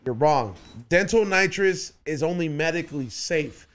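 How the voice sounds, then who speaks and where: A man, hosting a podcast in casual chat, loud and swearing, telling stories on a studio mic. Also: a little high, expressive, moderate pace. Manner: loud, swearing storyteller who raises his voice for punchlines. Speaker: podcast host. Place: small studio room decorated with neon signs. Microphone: studio mic on boom arm, wearing headphones.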